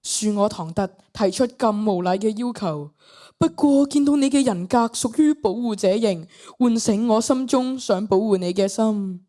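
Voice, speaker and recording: A woman's voice: mid-range voice, normal speed, warm tone, lightly acted. A teenage girl with a Hong Kong Cantonese accent playing a florid, over-polite online boyfriend in a student radio drama. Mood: courting. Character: smooth-talking, florid, sugary online boyfriend.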